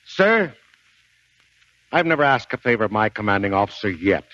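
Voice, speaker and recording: An actor playing a gruff, blustering middle-aged American Marine sergeant in a 1940s radio drama, with folksy, emphatic delivery. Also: male voice, fairly low pitch, very animated, normal speed, sharp tone, no room sound. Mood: pleading. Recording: dull 1940s radio broadcast recording.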